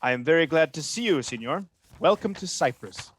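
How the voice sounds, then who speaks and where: A man's voice: fairly high pitch, animated, a little fast, husky, dry recording. A man playing a scheming, manipulative villain in a Shakespearean radio drama, insinuating and goading in manner. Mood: courteous. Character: scheming, manipulative male villain, a soldier's confidant.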